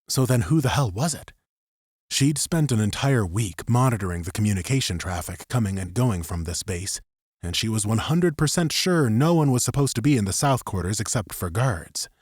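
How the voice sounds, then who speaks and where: A man's voice: on the low side, a little mellow, slightly rough, dry recording, clean studio sound. A man narrating a scripted third-person story as an audiobook narrator.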